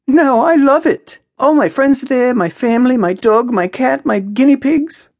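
A woman narrator voicing a lively, teasing, earnest young woman with a lyrical, expressive way of talking in a story. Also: sits high, moderate pace, dry recording, pitched above the performer's own voice. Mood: bright, emphatic.

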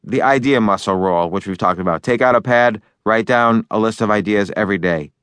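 A man's voice: on the low side, fairly quick, fairly bright tone, smooth, dry recording. A man narrating his own book in a loose, conversational way, with fillers and restarts as he talks over each point. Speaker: author and narrator.

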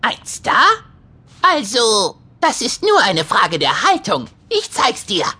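A woman voicing a vain, excitable little dragon with a grandiose, dramatic manner in a children's audio drama. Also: on the high side, takes it slowly, husky, heavily acted. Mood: showing off.

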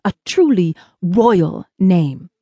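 A woman voicing a tall, courtly gentleman from space in an audiobook, gracious and formal in manner. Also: expressive, extremely slow, husky, no room sound, full character voice. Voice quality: high-pitched.

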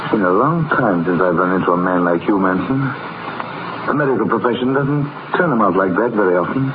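A muffled, noisy 1940s radio drama recording of a man acting a cynical small-town doctor. He is blunt and sardonic, a weary drinker with spirit left, and speaks in a lowish voice. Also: expressive, fairly quick, lightly acted. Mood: wry.

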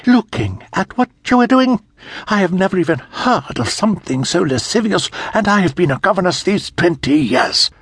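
A man voicing a stern, prudish middle-aged governess who scolds in a shrill, indignant way. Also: expressive, a little slow, no room sound, studio quality, full character voice.

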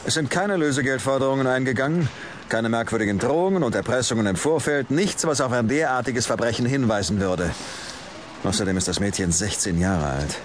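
A man's voice: medium pitch, expressive, brisk, a little hiss or hum, lightly acted. A male actor playing a cynical, world-weary middle-aged police detective in a crime audio drama, with a biting, emphatic delivery. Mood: weary, reasoning.

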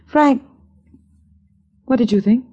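An actress playing a dazzling, high-strung young stage actress who talks dramatically and theatrically, in 1940s radio style. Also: female voice, takes it slowly, warm tone, muffled recording. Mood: dramatic.

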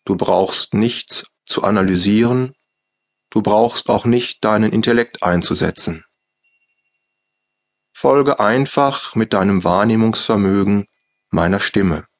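A man with a German accent guiding a yoga nidra relaxation in slow, soft, calm instructions with long pauses. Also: on the low side. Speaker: meditation guide. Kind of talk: guided yoga nidra meditation.

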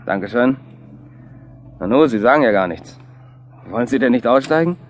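A man's voice: mid-range voice, animated, normal speed, natural voice. A man playing a cheerful, superstitious taxi driver in a radio play, breezy and colloquial. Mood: breezy, slightly defensive. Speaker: actor in an audio drama. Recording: noisy, with car and traffic sounds. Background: car engine and street ambience.

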